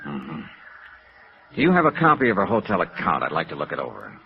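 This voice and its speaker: An American actor playing a cool, probing insurance investigator in a 1950s radio noir drama, clipped and matter-of-fact. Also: male voice, sits low, wildly swooping pitch, fairly quick, vocal fry, exaggerated voice. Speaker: lead actor and narrator. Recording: muffled vintage radio recording with music.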